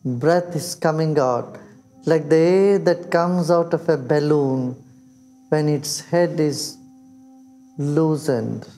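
An older man with an Indian accent leading a guided meditation, speaking slowly and soothingly with long pauses. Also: a little high, dark tone. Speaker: meditation teacher. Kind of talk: guided meditation in English and Hindi. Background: background music.

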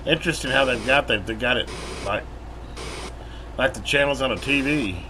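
A folksy American man with an average-pitched voice talks casually and rambles through a hands-on repair demonstration. He is plain-spoken, recorded in a workshop with clear background noise. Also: moderate pace. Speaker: radio repair video host. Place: metal workshop building, indoors at a bench. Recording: steady background hum and radio noise.

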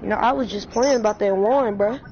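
A woman YouTuber playing a VR game, who trash-talks the characters with playful slang and bursts out loud. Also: mid-range voice, normal speed. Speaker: gaming YouTuber. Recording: dull lo-fi sound with loud hiss.

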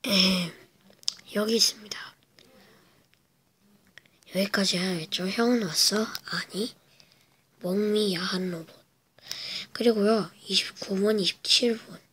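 A woman speaks in a hushed, breathy whisper, drawing out her words slowly as she tells a spooky story from her own home. Under the whisper her voice has a bright, edgy tone. She hesitates often and fills the gaps with small filler sounds. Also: medium pitch, fairly even intonation. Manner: slow, hesitant, with many fillers. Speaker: vlogger. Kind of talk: whispered casual storytelling to camera. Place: home, indoors.

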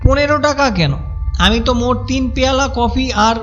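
A man's voice: extremely high pitch, brisk, fairly bright tone, dull audio, soft background music. A man narrating a cartoon ghost story in a wry, chatty storyteller manner.

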